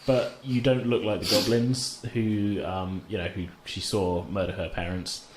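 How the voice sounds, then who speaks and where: A man's voice: fairly low pitch, little pitch movement, a little slow. A man narrating a tabletop game as game master in a casual, improvised, hesitant conversational way. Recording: roomy echo, faint background noise.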